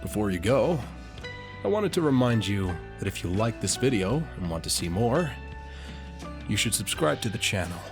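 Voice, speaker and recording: A man's voice: lowish voice, very animated, normal speed, music in the background. A man who performs audio roleplays, addressing the listener directly in a channel outro.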